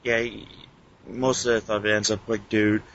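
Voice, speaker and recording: Low-pitched man on a lo-fi podcast. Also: little pitch movement, normal speed, a bit bright. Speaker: podcast co-host. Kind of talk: casual group podcast chat. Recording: muffled lo-fi internet podcast audio.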